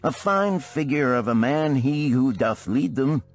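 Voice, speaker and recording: A man's voice: medium pitch, expressive, a little slow, faint music behind, pitched above the performer's own voice. A man plays a poor musician of ancient Babylon, full of longing. His speech is lyrical and old-fashioned, with a strongly varied melody. He takes his time over each phrase in this storybook parable. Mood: admiring.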